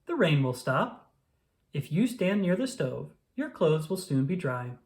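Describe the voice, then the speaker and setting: A reader voicing a calm, reassuring male frog from a children's storybook, telling things patiently and encouragingly. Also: mid-range voice, unhurried. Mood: gentle storytelling.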